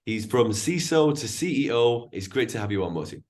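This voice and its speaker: A man's voice: mid-range voice, big pitch swings, moderate pace, darkish tone. A man hosting a podcast, introducing his guest and asking questions in an upbeat, repetitive way. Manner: upbeat, repeats words, enthusiastic. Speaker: podcast host. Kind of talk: casual podcast interviewing.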